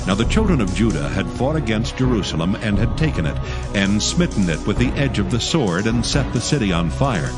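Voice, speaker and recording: Low, animated male scripture narrator.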